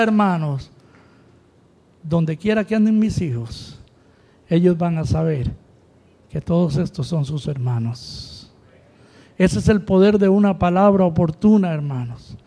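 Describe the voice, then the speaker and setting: A man's voice: high voice, measured pace, a little mellow. A male pastor preaching a sermon, calling out to the congregation with rising emphasis.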